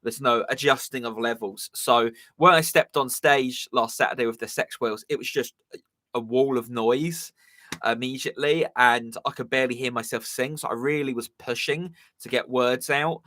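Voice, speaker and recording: A man's voice: mid-range voice, steady tone, moderate pace, pushed and bright, no echo. A young man with a southern English accent chatting casually as a musician hosting his live stream, rambling with lots of ums and uhs, his voice a bit strained. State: slightly strained voice. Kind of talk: casual chat.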